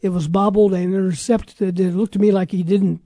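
A man's voice: high voice, steady tone, moderate pace, warm tone, no echo, clean studio sound. A man with an American accent hosting casual sports talk, running through scores in a conversational, matter-of-fact way. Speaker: sports radio talk show host.

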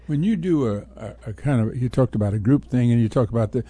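A man co-hosting a sports talk show, forming casual questions haltingly and repeating words as he goes. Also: medium pitch, expressive, dark tone, breathy edge, no room sound.

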